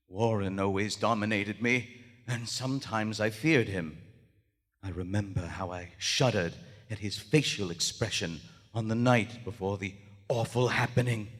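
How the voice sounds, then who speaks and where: A man's voice: medium pitch, fairly bright tone. Man playing a haunted writer, reverberant hall. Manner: formal, archaic, dramatic diction. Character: haunted, shaken writer of weird fiction, a man. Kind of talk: live 1920s-style radio horror theater acting. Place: large theater stage, live performance. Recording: live stage in echoing theater hall.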